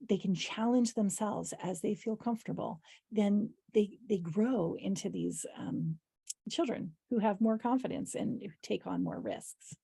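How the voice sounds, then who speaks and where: A woman leads a training in a mellow, hoarse voice with a gravelly creak at the edges. She explains things conversationally, like an educator presenting to colleagues. Her pitch sits in an ordinary middle range, and the huskiness stays throughout. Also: dry recording. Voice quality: hoarse, husky. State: hoarse voice, clearing her throat. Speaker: early childhood educator leading a training. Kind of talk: informal instructional presentation with examples.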